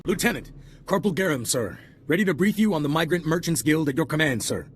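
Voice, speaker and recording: A man's voice: fairly high pitch, lively intonation, fast talker, a little hiss or hum, lightly acted. A man playing a dutiful soldier, sir-ing everything. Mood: earnest. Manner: formal, clipped, adds sir to every point. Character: dutiful male military corporal giving a briefing. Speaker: briefing officer. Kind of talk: video game cutscene voice acting.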